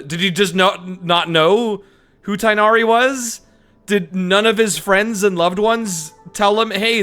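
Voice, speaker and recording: High-pitched, bright-voiced man streaming games. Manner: sweary, animated, mock-dramatic. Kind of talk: casual joking game commentary.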